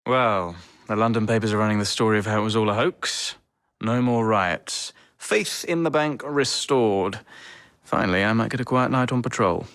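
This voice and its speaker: A man's voice: fairly low pitch, normal speed, a bit bright, no echo, studio quality. An actor playing a weary, dutiful Victorian London policeman in a video game, speaking matter-of-factly. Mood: relieved, matter-of-fact.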